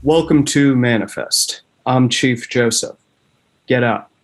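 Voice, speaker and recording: Man acting elderly chief, solemn, thick accent, unhurried, mid-pitched, screenplay reading. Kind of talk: table read of a screenplay.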